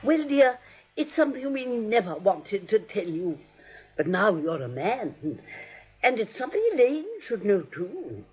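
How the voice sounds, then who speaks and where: Fluttery, lilting old spinster aunt. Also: female voice, mid-range voice, very animated, unhurried, breathy edge, full character voice. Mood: gently soothing. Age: older. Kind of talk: 1940s radio drama acting. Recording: dull vintage 1940s drama recording.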